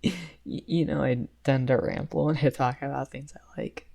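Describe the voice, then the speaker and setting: A woman's voice: very deep voice, steady tone, unhurried, creaky, dark tone, husky, heavily acted. A woman playing a shy young male best friend in an ASMR roleplay, rambling hesitantly with stammers and nervous giggles. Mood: shy excitement.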